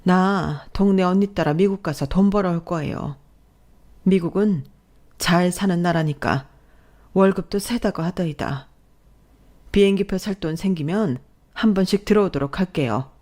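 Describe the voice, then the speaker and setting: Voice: mid-range voice, moderate pace, darkish tone, lightly acted. A narrator voicing a long-suffering, fed-up wife and mother in dramatized storytelling dialogue. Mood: aggrieved.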